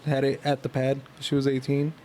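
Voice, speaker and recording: A man's voice: medium pitch, completely flat delivery, brisk, mellow, a little hiss or hum. A man talking casually as a podcast co-host, in blunt, slangy, profane remarks. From Salinas, California.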